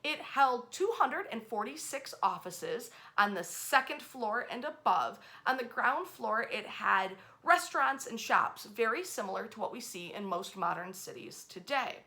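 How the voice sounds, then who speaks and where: A woman's voice: fairly high pitch, a little slow, few pauses. An American woman hosting a history storytelling series, speaking in a casual, conversational and emphatic way. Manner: animated and emphatic, acts out short quotes.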